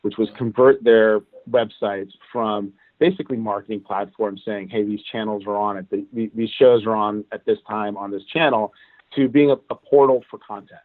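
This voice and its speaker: A man from Cuba, a guest in an interview, telling how his career went in an animated, casual way. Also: on the low side, moderate pace, no room sound. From Cuban. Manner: animated, recounts events at length. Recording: tinny phone-like line, dry.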